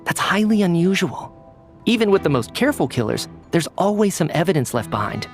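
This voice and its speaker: Lively, brainy young scientist. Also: male voice, high voice, brisk. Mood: pondering. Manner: analytical, articulate. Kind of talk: video game voice acting. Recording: background music.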